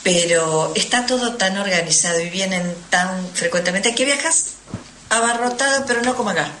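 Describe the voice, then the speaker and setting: A woman's voice: medium pitch, moderate pace, sharp tone. An Argentine woman recounting her experiences as an interview guest, conversational and emphatic, repeating words for stress. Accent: Argentine Spanish. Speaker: radio interview guest, traveller. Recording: faint noise, roomy echo.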